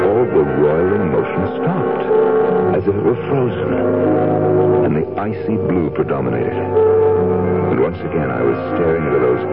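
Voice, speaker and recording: Deep, gravelly, agitated husband. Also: male voice, full character voice. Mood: haunted. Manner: agitated, halting, dramatic. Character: nervous, defensive fortune-hunting husband haunted by visions. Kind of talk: 1970s radio drama acting and narration. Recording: dull vintage radio recording with loud music.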